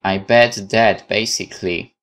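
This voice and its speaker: Low-pitched Polish-accented man reading aloud. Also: fairly even intonation, unhurried, fairly bright tone. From Poland. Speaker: let's play host. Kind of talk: reading visual novel text aloud, with casual asides.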